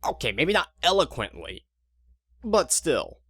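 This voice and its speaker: A man's voice: on the high side, huge pitch swings, measured pace, fairly bright tone, no echo, studio quality. A man narrating a scripted audio drama in an informal, wry, conversational way.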